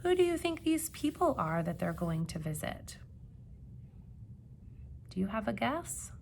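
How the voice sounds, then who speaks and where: A woman reading a children's picture book aloud like a teacher, with expressive emphasis and questions put to young listeners. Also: mid-range voice, creaky, a little hiss or hum. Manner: expressive, dramatic gasps and emphasis, pausing to ask questions.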